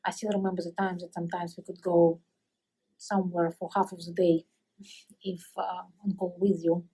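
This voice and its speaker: A woman's voice: fairly low pitch, flat delivery, moderate pace, warm tone, slightly rough, poor audio. A woman in her forties with a Russian accent, hesitantly recording a retirement message for a coworker into a webcam. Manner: hesitant, full of ums. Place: indoor room.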